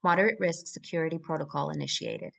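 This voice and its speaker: Female narrator, slightly low voice, reading screenplay action lines and scene headings. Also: fast, creaky, a bit bright. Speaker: stage directions reader at a screenplay table read.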